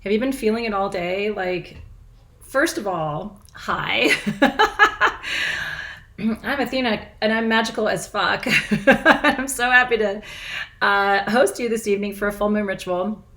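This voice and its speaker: A middle-aged woman with a froggy, hoarse voice, hosting a live online ritual from her small house in casual, bubbly chat, laughing often. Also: medium pitch, animated, unhurried, long pauses, sharp tone. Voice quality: froggy, husky. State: hoarse, nearly lost voice. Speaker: spiritual teacher hosting a live full moon ritual.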